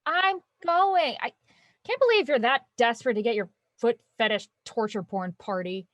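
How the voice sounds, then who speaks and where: A woman's voice: a little high, normal speed, no echo, muffled recording, close to an everyday voice. An actress playing an anxious young woman student, a hesitant but sarcastic younger sister. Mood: uneasy. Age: young adult.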